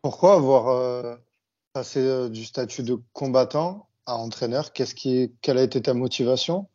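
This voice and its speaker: Casting: male podcast host, mid pitch, mellow tone, plain questioner, dull lo-fi sound. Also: normal speed. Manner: plain, asks questions with small hesitations. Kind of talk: interview questions. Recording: dull lo-fi audio.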